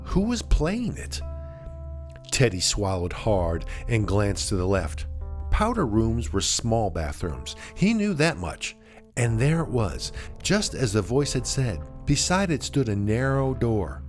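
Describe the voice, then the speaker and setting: A man narrating a suspenseful story in a dramatic, measured way, with deliberate pauses. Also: fairly low pitch, wildly swooping pitch. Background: music.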